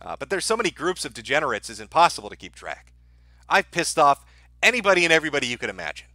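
A man hosts a livestream with casual, mocking commentary and plenty of swearing. His voice is lively, swinging widely in pitch, with a sharp, bright edge. The sound is dry and close, and his delivery is sardonic. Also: fast talker, dry recording. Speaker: livestream host.

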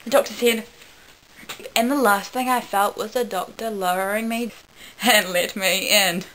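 A woman's voice: mid-range voice, steady tone, unhurried, a bit bright, slight background noise, poor audio. A young woman dramatically reading a story at home in a bedroom, theatrical, with self-mocking asides. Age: young adult. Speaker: vlogger reading own fanfiction. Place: small bedroom, indoors.

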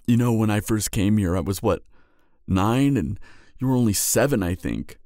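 A man playing a grieving, guilt-ridden young guardian in a fairy-tale audio drama, speaking tenderly and haltingly with sighs. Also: fairly low pitch, dark tone, no room sound, studio quality.

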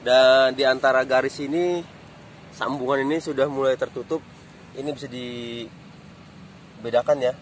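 A man's voice: mid-range voice, measured pace, long pauses, slight background noise. A man giving a casual walking tour of a stadium construction site, pointing things out as he goes, filmed outdoors on a phone. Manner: relaxed, pointing things out as he walks. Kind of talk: casual walk-and-talk commentary. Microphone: phone camera held low while walking.

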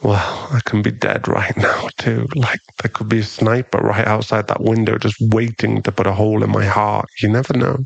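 A man playing a possessive, tender and dangerous mafia boss boyfriend in an intimate, teasing ASMR roleplay, lingering on his pauses. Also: fairly low pitch, flat delivery, creaky, dry recording, dull audio.

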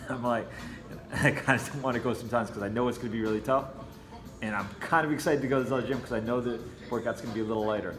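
A man's voice: mid-range voice, fast. A man speaking casually as a martial arts trainer in an interview, explaining conversationally with plenty of "you know". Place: martial arts gym with mats, indoors. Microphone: camera a few feet away. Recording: echoey room, faint music behind.

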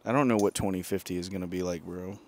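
A young man in his thirties hosting a casual comedic podcast on a backyard patio, holding a handheld mic, animated and profane, bursting into silly mock singing. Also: sits low, quick pace. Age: young adult. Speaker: podcast host.

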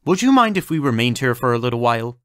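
A self-possessed gentleman doctor played by a male actor speaks formally and courteously in an audio drama. His pitch is medium and his words are measured. The sound is dry, with no room around it. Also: big pitch swings, dry recording. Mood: polite, composed. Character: polite, self-possessed male doctor turned reluctant funfair ringmaster. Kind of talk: scripted audio drama acting.

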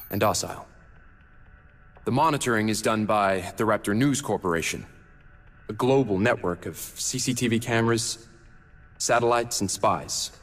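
A man playing a solemn young half-demon mastermind in a video game, speaking in a measured, articulate, grave way. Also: lowish voice, fairly bright tone, close to an everyday voice. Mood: measured explaining. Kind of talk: cinematic video game dialogue and exposition. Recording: long reverb, music underneath.

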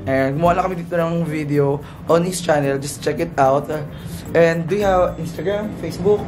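Giggly high-voiced Filipino man vlogging. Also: fairly quick. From Philippines. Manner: chatty, giggly, mixes Tagalog and English. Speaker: travel vlogger. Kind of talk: casual vlog chat. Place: hotel room indoors, later street outdoors. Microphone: handheld camera at arm's length. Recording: music playing loud behind.

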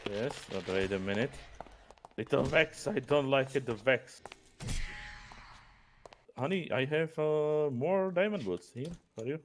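A young man with a Slovak accent, talking haltingly and searching for English words while gaming. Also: mid-range voice, animated, a little hiss or hum. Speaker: co-host gamer. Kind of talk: casual gameplay chat. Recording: music playing over the voice.

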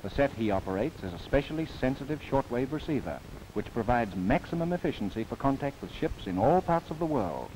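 A man's voice: mid-range voice, expressive, a little fast, a little mellow, poor audio. Man, documentary narrator, formal declamatory delivery, old film soundtrack, faint hiss. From New Zealand. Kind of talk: scripted narration, 1930s newsreel. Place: studio voice-over.

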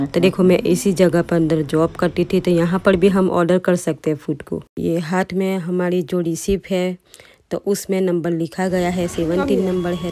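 A woman's voice: fairly low pitch, background noise. A young adult woman narrating a casual vlog in a chatty, explanatory way, talking directly to her viewers. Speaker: vlogger. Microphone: handheld phone.

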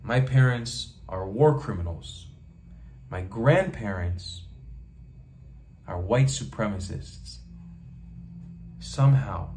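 A man's voice: lowish voice, lively intonation, takes it slowly, pauses often, a little mellow, a little hiss or hum. A young man talking straight to the camera in a small bedroom, giving an impassioned monologue and repeating phrases for emphasis. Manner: emphatic, repeats phrases for rhetorical effect, gestures with hands. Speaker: travel vlogger.

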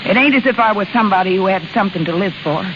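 An actress in a 1930s radio drama playing a weary, remorseful, broke carnival woman with halting, folksy speech. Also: mid-range voice, natural voice. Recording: muffled, crackly 1930s radio broadcast.

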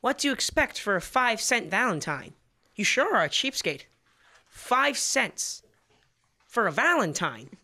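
A teenage male playing a bright-voiced know-it-all big brother. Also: high-pitched, sing-song, a little slow, exaggerated voice. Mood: superior. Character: know-it-all older brother, boastful and easily annoyed. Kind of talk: old-time radio comedy acting. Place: school library. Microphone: standing stage microphone.

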